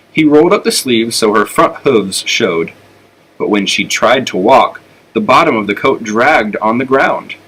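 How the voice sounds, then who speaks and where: Male storybook reader, American accent, bright and clear-edged tone, telling a children's tale. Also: fairly low pitch, low-quality recording. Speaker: elementary school teacher reading aloud.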